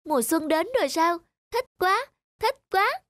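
Very high-pitched childlike lazy swan. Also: female voice, very animated, unhurried, fairly bright tone, no echo, clean studio sound, heavily acted. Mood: gleeful. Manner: childlike, exclaiming. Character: lazy, gleeful young female pink swan. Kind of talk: Vietnamese cartoon dubbing. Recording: music under the voice.

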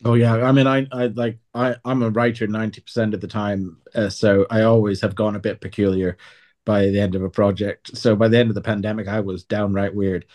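A man's voice: lowish voice, flat delivery, brisk, a little mellow. A man chatting casually as an interview guest, wry, self-deprecating and emphatic. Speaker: writer, voice actor and interview guest.

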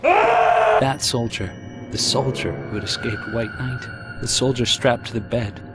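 An actor playing a haunted young soldier, narrating a horror war game cutscene in a grave, brooding manner. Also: male voice, medium pitch, wildly swooping pitch, unhurried, loud music behind the voice. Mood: grim.

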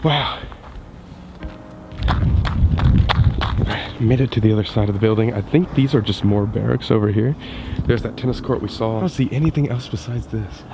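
A man's voice: fairly low pitch, normal speed, long pauses, heavy background noise. A young man co-hosting an urban exploring vlog, often whispering in an abandoned building. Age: young adult. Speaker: vlogger.

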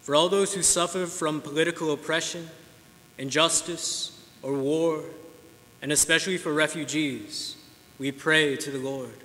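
A man reading intercessions aloud as a lector, in a steady, formal way. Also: on the high side, a little slow. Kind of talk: reading prayers of the faithful. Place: large church sanctuary. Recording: long church reverb, faint noise.

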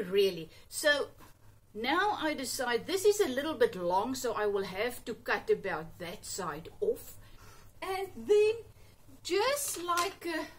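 A woman in her fifties with an Afrikaans accent, chatting her way through a sewing tutorial in her home sewing room, upbeat and full of little exclamations. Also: on the high side. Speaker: sewing channel host. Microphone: camera on a table, a few feet off.

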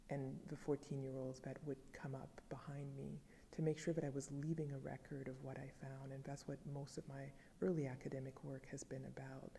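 A woman's voice: a bass voice, creaky, mellow, long reverb tail, slight background noise. A woman in her forties, born in Haiti with French as her first language, speaking as a professor and recalling her youth thoughtfully in an interview. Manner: thoughtful, measured storytelling. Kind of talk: reflective interview answer to camera. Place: dim wood-paneled library. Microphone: fixed camera, seated at medium range.

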